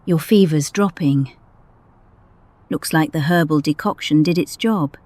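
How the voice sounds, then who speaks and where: A woman playing a brisk medic team leader in an audio drama, firm and dry-witted. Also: sits low, a little mellow, no room sound.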